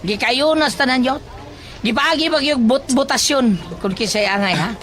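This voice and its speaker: A performer voicing a loud, bossy, excitable student in an audio drama, with a Filipino accent and exclaiming, teasing, drawn-out delivery. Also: high-pitched. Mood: amused. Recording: background music and crowd noise.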